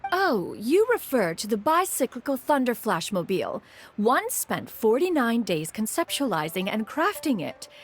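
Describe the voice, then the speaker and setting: An actress playing a proud, ancient immortal adeptus in a video game, speaking in pompous, archaic formal phrases over music. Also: female voice, on the high side, big pitch swings, normal speed, a little hiss or hum. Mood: boastful. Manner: pompous, archaic formal speech, refers to self as "one". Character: proud, haughty ancient immortal bird adeptus, a woman master. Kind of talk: video game character dialogue.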